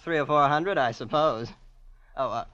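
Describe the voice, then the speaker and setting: A man's voice: medium pitch, moderate pace, fairly bright tone, slight background noise, natural voice. Guy acting spoiled young rich kid in old radio play, casual brag, shrugs everything off, dull old recording. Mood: breezy, smug. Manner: breezy, nonchalant understatement. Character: young rich heir, casually boastful and blasé. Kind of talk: 1940s-50s radio drama acting.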